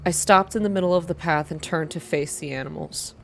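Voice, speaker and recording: A woman reading first-person story narration aloud in a soft, hushed, measured ASMR style. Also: deep voice, vocal fry, slight background noise. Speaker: narrator reading a visual novel game aloud.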